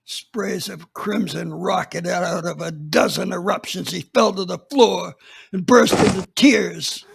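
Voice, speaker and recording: Slow gravelly male narrator. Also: high-pitched, background noise. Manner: gritty, deliberate storytelling. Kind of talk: hard-boiled first-person dramatized narration.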